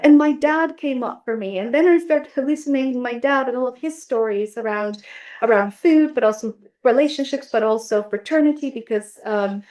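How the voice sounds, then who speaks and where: Higher-voiced Bulgarian woman, roomy distant mic. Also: normal speed, a little mellow. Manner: thoughtful, many restarts and fillers. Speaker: roundtable speaker on translation. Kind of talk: reflective academic conversation.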